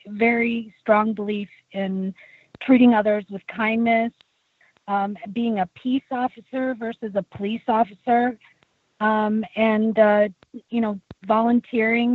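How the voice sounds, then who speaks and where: A woman guest with a voice left low by a tracheotomy talks through her story in a loose, chatty way, stopping and restarting often. She sits slightly high in pitch. The sound is dry and tinny, like a phone call. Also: dry recording. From United States. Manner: chatty, many fillers and restarts. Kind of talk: casual interview talk.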